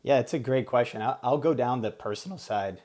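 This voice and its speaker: A man whose first language is Portuguese, speaking as an executive guest in a business interview and explaining his points in a measured way. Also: medium pitch, a little mellow. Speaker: podcast guest, sales executive.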